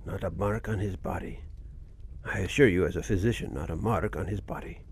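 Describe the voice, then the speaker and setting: An actor playing a grave, superstitious old doctor who croaks out foreboding pronouncements in a suspense audio drama. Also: male voice, deep voice, a little fast, a little hiss or hum, close to an everyday voice. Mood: insistent. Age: older. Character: ominous, superstitious old male doctor and family friend.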